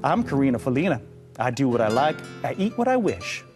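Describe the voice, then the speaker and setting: A man's voice: medium pitch, very animated, brisk, music in the background, close to an everyday voice. A man voicing a greedy, smug female cat in a children's storybook, sing-song and dangerously sweet. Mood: smug, boastful.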